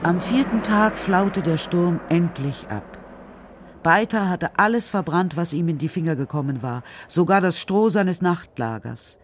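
A narrator reading a children's radio drama story in a measured, serious, literary style. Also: mid-range voice, animated, slight background noise.

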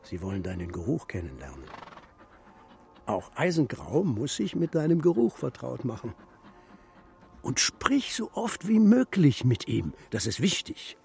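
A man's voice: medium pitch, sing-song, measured pace, vocal fry, darkish tone, soft background music. An actor playing a stern, brusque old grandfather of the steppe in a radio play. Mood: calmer. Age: older. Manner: brusque, commanding.

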